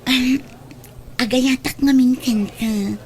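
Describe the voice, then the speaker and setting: A woman's voice: high-pitched, steady tone, slow, darkish tone. A woman acting a bold, forward young woman in an Ilocano radio drama, with an Ilocano Filipino accent. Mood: smitten, pleading.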